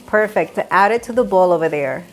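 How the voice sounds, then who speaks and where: A woman's voice: fairly low pitch, lively intonation, brisk, a little hiss or hum. A woman hosting a home cooking show, giving upbeat step-by-step instructions and laughing often. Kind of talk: cooking demonstration. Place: home kitchen.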